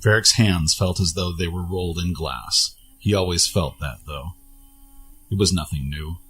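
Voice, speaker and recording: Deep-voiced man narrating fantasy. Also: normal speed, a bit bright, soft background music. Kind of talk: scripted fantasy story narration.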